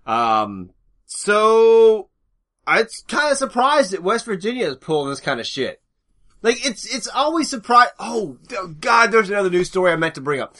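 A man chatting as a podcast co-host, rambling and halting, restarting his thoughts as he goes. His voice is bright and sharp, sitting slightly high for a man. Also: sing-song. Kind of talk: casual podcast chat.

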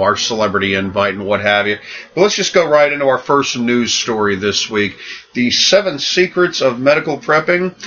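A man co-hosting a podcast in casual chat, joking and halting over his words with fillers. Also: medium pitch, pushed and bright, slight background noise. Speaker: podcast co-host. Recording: dull lo-fi podcast audio.

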